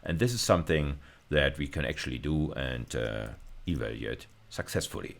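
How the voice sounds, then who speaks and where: A man's voice: very deep voice, lively intonation, normal speed, vocal fry. A man lecturing at university level in an informal screencast style, explaining hesitantly and often repeating and rephrasing himself.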